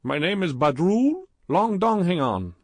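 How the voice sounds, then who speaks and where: A man voicing a flirtatious, pompous middle-aged male hotel clerk with a put-on Indian accent, in a high, sing-song, overly formal way. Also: sing-song, a little slow, dry recording, exaggerated voice. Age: 40s.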